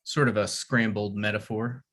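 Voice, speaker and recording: A man's voice: lowish voice, fairly even intonation, moderate pace, a bit bright. A man reading his lines at a script table read, hesitant and self-deprecating, with stammers and restarts.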